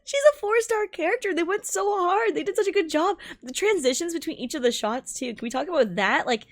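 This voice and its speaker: A woman streamer giving excited, exclamatory and rambling reaction commentary on a game trailer. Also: very high-pitched, brisk. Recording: music playing behind the voice. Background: game trailer music and dialogue.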